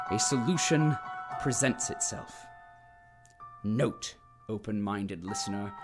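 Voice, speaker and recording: A man playing a pompous, preachy corporate propaganda announcer narrating an audio drama serial. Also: on the high side. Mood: pointed, pompous. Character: bombastic corporate propaganda announcer, pious and grandiose.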